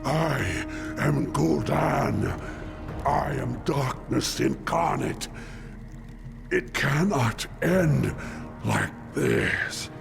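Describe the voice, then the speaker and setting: An actor playing a ruthless, power-hungry orc warlock in a video game, with a gravelly voice and contemptuous, grandiose delivery. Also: male voice, medium pitch, very slow, long pauses, over music, heavily acted. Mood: dying, defiant. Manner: contemptuous, grandiose villainous declaiming. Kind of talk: dramatic video game voice acting.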